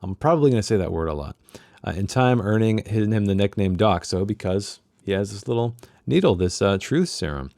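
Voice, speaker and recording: A man's voice: fairly low pitch, expressive, a little fast, vocal fry, mellow, no echo, studio quality. A man hosting a book club in a chatty, self-deprecating way, casually discussing passages he reads aloud.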